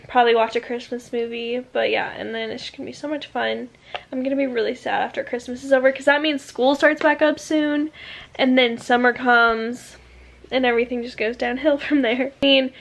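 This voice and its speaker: A young woman in her early twenties chats to her camera at home, rambling loosely and leaning on fillers. Her voice sits a little high, with faint hiss behind it. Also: steady tone, normal speed, vocal fry. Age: 20s. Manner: chatty, rambling with many fillers. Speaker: vlogger. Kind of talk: casual vlog chat.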